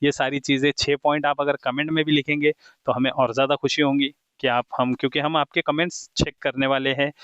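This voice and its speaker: A man teaching English in Hindi who reads the English example sentences slowly and deliberately and keeps calling his viewers friends. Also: medium pitch, flat delivery, fairly bright tone, clear, resonant tone, no room sound, highs cut off. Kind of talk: explaining a textbook lesson to viewers.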